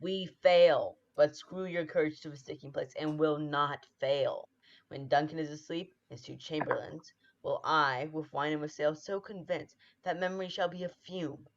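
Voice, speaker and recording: A woman playing a ruthless, scheming noble lady who drives her husband on, in 1940s radio-play style, with urgent forceful delivery. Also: deep voice, steady tone, normal speed. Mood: scornful. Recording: dull lo-fi sound.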